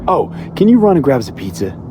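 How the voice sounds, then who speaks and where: An actor playing a wry young American mercenary in a video game, talking in terse, casual street slang. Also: male voice, mid-range voice, very animated, fast talker, noisy background, lightly acted. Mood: wry, teasing.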